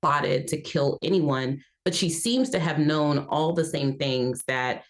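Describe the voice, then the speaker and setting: A woman with a low voice speaks as a legal analyst on a panel. She lays out her argument in an articulate, assertive way. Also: slow. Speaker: legal analyst, defense perspective.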